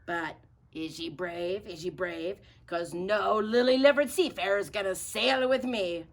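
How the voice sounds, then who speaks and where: A woman voicing a blustery, impatient male captain in a children's storybook, declaiming and shouting in slang. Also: mid-range voice, unhurried. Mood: challenging. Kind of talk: children's storybook read-aloud.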